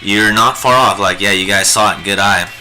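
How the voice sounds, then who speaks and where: A man's voice: on the low side, normal speed, bright, forceful tone, soft background music, rough recording. A young man hosting a live painting stream, chatting in a rambling, jokey way while he works. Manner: rambling and jokey, lots of fillers. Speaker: livestream host teaching digital painting.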